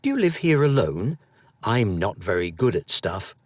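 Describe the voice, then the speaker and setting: A man's voice: lowish voice, very animated, moderate pace, no room sound, clean studio sound. A man voicing a poor young boy in a storybook, timid, earnest and full of wonder. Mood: shy, earnest.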